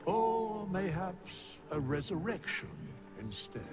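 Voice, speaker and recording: An actor playing an ancient, solemn undead skeleton in a video game, speaking archaic, formal English with a breathy, measured delivery. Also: male voice, high-pitched, big pitch swings, loud music behind the voice, heavily acted. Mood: detached, formal.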